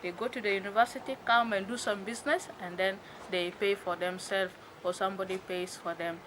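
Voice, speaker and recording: A young woman from Sudan, a religious sister answering interview questions with halting pauses and fillers. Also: mid-range voice, fairly even intonation, a bit bright, a little hiss or hum, poor audio. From South Sudan. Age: young adult. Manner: hesitant, pauses with many fillers.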